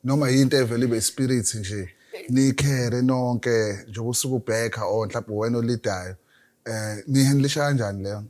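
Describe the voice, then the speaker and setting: A man's voice: medium pitch, a little fast, darkish tone. A South African man interviews a guest casually, asking mostly in Zulu mixed with English and often answering with short agreements.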